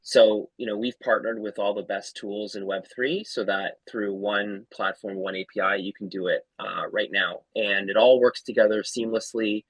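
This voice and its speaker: Founder guy, kinda low voice, eager and quick bursts, dry sound. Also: steady tone, no echo, poor audio. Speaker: company founder guest. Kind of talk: casual chat.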